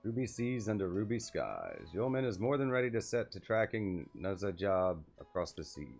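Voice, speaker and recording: A man's voice: lowish voice, big pitch swings, moderate pace, vocal fry. A man streaming a video game, reading quest text aloud and adding casual asides. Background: faint game music.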